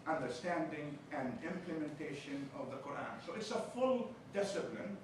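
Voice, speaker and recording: A man from Palestine with a thick Arabic accent lectures as a chaplain, dropping teasing jokes between points. His voice sits a little high. The mic is some way off, so he comes through a booming, echoey room with clear background noise. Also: big pitch swings, moderate pace, far from the mic. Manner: jokey, teasing asides between explanations. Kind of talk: seminar lecture.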